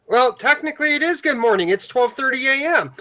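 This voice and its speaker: A man's voice: very high-pitched, animated, fairly quick, bright, forceful tone. A man with a Michigan accent shifting toward the Upper Peninsula, chatting casually on a livestream call. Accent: Michigan, turning Upper Peninsula. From downstate Michigan. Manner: chatty, repeats words. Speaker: livestream guest. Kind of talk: casual chat. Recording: dull lo-fi stream audio.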